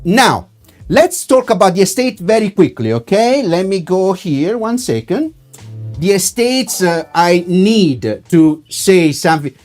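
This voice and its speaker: A man's voice: sits high, sing-song, measured pace, a little mellow, faint music behind. A man with an Italian accent, a wine importer hosting a live-streamed tasting, talking in a chatty way with many filler words.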